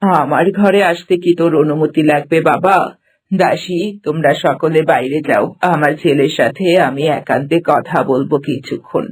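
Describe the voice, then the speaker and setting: A man voicing a kind, dignified middle-aged queen mother in a cartoon, with a gentle, measured manner. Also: on the high side, slightly rough. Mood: concerned, motherly. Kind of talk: cartoon voice acting.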